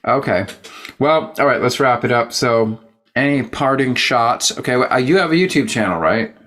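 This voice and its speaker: A man's voice: mid-range voice, normal speed, fairly bright tone. A man hosting an interview in a casual, jokey way, wandering off on tangents as he chats. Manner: rambling, jokey, tangent-prone. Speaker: interview host and show producer. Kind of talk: casual rambling interview chat.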